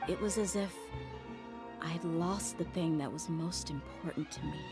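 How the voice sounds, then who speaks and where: Low-voiced, guarded young mercenary woman. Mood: wistful, reflective. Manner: reflective, measured storytelling. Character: tough young woman mercenary, wistful, guarded, plain-spoken. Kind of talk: video game voice acting. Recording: game music behind the voice.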